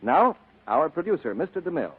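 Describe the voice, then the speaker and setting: Male ad announcer, vintage radio pitch, lively intonation, slightly raised voice, muffled recording. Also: a little fast, husky. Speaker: commercial announcer. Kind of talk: 1940s radio advertising pitch. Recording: muffled old radio broadcast.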